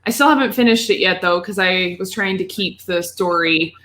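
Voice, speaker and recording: A woman's voice: lowish voice, normal speed, a bit bright. A woman co-hosting a podcast, chatting casually about video games in an informal, rambling way. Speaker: podcast co-host.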